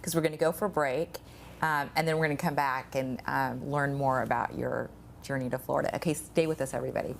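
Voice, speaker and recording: A woman hosting a TV interview show, reacting with lively exclamations. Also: rumbling low, quick pace, vocal fry, slight background noise. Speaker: talk show host.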